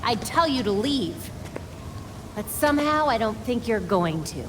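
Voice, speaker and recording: An actress playing a young, principled vigilante heroine in a video game, dry, sardonic and confrontational. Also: female voice, fairly high pitch, lively intonation, a little slow, background noise. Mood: sardonic defiance. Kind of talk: cinematic video game acting.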